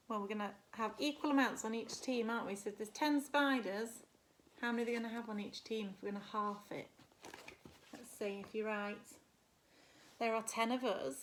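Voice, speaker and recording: A woman, a primary school teacher, reading a picture book expressively to children and pausing to put questions to her listeners. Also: on the high side, pushed and bright. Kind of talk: animated picture-book storytelling with asides.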